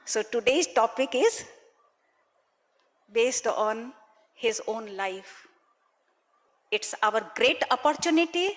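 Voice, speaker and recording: A woman gives a polite introduction at a slow, measured pace. Her voice sits at an ordinary pitch. She sounds distant, with long hall reverb around her. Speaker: event host introducing the speaker. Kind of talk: formal introduction. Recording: far from mic in a reverberant hall.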